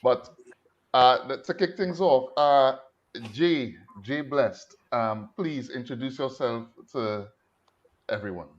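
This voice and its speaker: A man's voice: medium pitch, slow pace. A man hosting a casual panel, laughing easily and repeating himself. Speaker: host. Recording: dull lo-fi call audio with faint noise.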